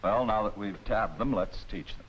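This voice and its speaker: An American man playing an authoritative, wry narrator of a 1940s military training film in a measured, rhetorical delivery. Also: lowish voice, slight background noise, natural voice. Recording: muffled old film soundtrack with music.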